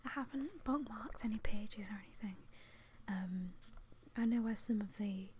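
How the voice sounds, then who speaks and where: A young British woman speaking in a soft, breathy whisper, chatting casually and a little hesitantly to her viewers in ASMR style, close to the microphone. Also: mid-range voice, normal speed, a little hiss or hum. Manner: whispery, hushed, hesitant and apologetic. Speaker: ASMR video host.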